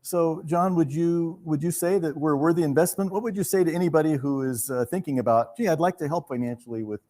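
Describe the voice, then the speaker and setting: A man hosting a live benefit evening, speaking to an audience in a measured, halting and courteous way. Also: fairly high pitch, warm tone. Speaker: host of a theater benefit evening. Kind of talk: live fundraising appeal to an audience.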